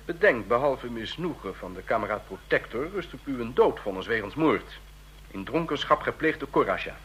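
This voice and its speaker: An actor in a radio play playing a stern, condescending ambassador of a fascist state, speaking in formal, measured officialese. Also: male voice, medium pitch, lively intonation, a bit bright, close to an everyday voice. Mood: displeased, sardonic. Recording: clear hum and noise, old radio recording.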